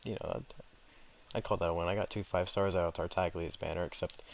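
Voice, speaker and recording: A young man giving casual gameplay commentary, rambling and halting as if talking to himself. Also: sits low, little pitch movement, vocal fry. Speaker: gaming video creator. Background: loud game music.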